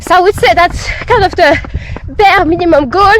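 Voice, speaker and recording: A woman's voice: very high-pitched, moderate pace, slight background noise. A woman with a blocked nose from a head cold, talking plainly and wearily while out on a run. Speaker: running vlogger. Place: outdoors on a run.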